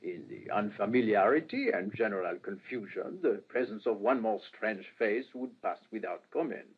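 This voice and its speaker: A man acting in a radio drama as a courteous, astute middle-aged police inspector, speaking in a measured, formal, ruminative way. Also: fairly low pitch, animated, husky. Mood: explaining, assured. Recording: muffled, lo-fi radio recording, faint noise.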